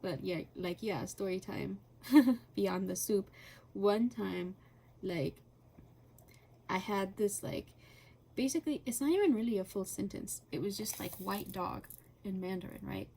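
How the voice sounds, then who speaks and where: A woman chatting casually and rambling while coloring, halting often with fillers and laughing between thoughts. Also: mid-range voice, creaky, mellow, slightly rough. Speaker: channel host.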